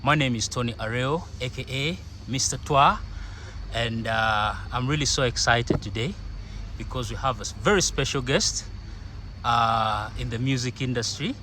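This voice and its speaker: Guy hosting an interview, mid pitch, bit of traffic behind. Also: moderate pace, bright, forceful tone. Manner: frequent "mm" backchannels. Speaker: interview host. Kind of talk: casual interview.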